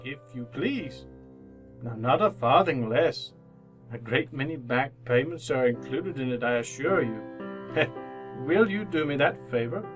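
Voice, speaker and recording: A man playing a reformed old miser, giddy and generous, in a theatrical old-time radio drama style that swings from hushed to booming. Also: lively intonation, measured pace, soft background music, close to an everyday voice. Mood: earnest, joyful.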